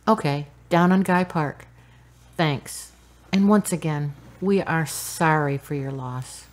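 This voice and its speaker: A sympathetic, lower-voiced courteous woman. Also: slow. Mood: sympathetic, formal. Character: Caroline, sympathetic, courteous woman offering condolences. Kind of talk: radio drama acting.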